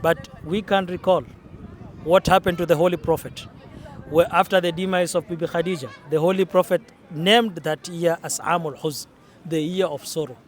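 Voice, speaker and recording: A young man from Kenya speaks in an earnest, explanatory way, often restarting his sentences. His voice sits a little high for a man. He is recorded outdoors in a city. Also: steady tone, normal speed. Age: young adult. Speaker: interviewee. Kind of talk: casual interview.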